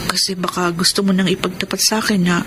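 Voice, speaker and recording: A woman's voice: lowish voice, flat delivery, fast, noisy background, natural voice. An actress playing an earnest, loving young Filipino girlfriend in a radio drama, speaking in a sincere, concerned way. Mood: worried. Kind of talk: Filipino radio drama acting and letter narration. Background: music.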